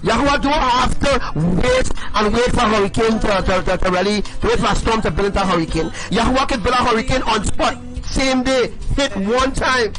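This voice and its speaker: A man's voice: extremely high pitch, brisk, hardly any pauses, over loud music, low-quality recording. A man preaching on a livestream. He talks emphatically, repeats his points and keeps asking his listeners "right?". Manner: emphatic and repetitive, asks the audience "right?".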